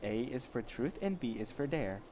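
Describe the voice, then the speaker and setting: A man's voice: mid-range voice, sing-song, normal speed, mellow, a little hiss or hum. A man casually reading amateur fiction aloud, with sarcastic, foul-mouthed asides. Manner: sarcastic, profane commentary. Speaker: amateur story reader.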